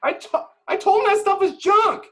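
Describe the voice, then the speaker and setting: A man's voice: very high-pitched, moderate pace, voice pushed up. A man playing an eager, chattering teenage boy superhero sidekick in an amateur script read. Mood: anxious. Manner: excitable, chattering.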